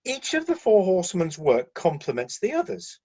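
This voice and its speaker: A husky, defensive celestial middle manager played by an actor in a satirical radio play. The voice moves in lively swings as it breezily justifies the staff in corporate terms, mildly flustered, on a slightly dull recording. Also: mid-range voice, moderate pace.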